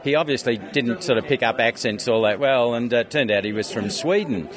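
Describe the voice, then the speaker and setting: A man's voice: medium pitch, brisk. An Australian man chatting casually, telling anecdotes in a rambling, hesitant way. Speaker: interviewee, skeptics convention organiser. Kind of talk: casual chat. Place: busy convention venue. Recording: crowd chatter behind the voice. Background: crowd chatter.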